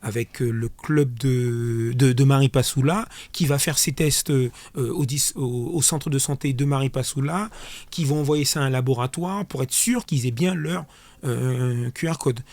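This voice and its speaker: A man answering as an official in a radio interview, explaining things haltingly and repeating words as he restarts. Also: medium pitch, a little mellow, no room sound. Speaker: sports official, interview guest. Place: radio studio.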